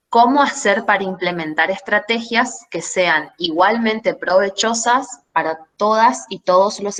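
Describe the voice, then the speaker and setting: Woman moderator, lowish voice, reads a question slow and careful. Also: a bit bright. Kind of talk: reading audience question aloud.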